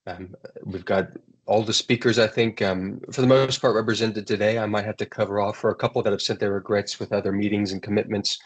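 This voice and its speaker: An American man hosting an online webinar, introducing the event and speakers in a relaxed, rambling way. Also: lowish voice, brisk, breathy edge. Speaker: webinar host.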